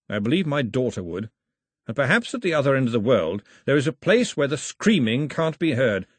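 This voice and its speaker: A man's voice: mid-range voice, husky, no room sound, studio quality. A man voicing a grieving upper-class father who speaks in a clipped, understated and firm way.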